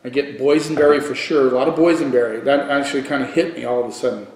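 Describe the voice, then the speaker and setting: A middle-aged man giving a casual wine review on video, thinking aloud haltingly and repeating words for emphasis. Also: mid-range voice, steady tone, darkish tone. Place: living room at home, indoors. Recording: echoey room.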